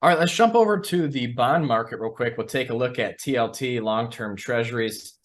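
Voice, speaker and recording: A man's voice: mid-range voice, normal speed. A man hosting a financial discussion, giving conversational market commentary and putting questions to an analyst.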